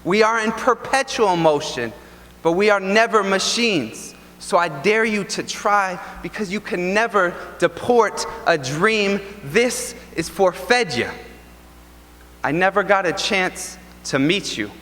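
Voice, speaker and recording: Young man, spoken word poet, slow rhythmic delivery, bright voice, long ballroom reverb. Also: sits high, lively intonation, slight background noise. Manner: rhythmic, deliberate, impassioned delivery. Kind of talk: spoken word poetry performance. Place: large hotel ballroom.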